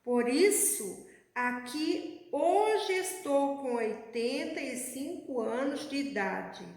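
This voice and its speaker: A woman preaching a sermon in a church, speaking slowly and calling the congregation beloved. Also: sits high, expressive, a little mellow. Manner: slow, measured preaching, addressing the congregation as beloved. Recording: echoey church hall.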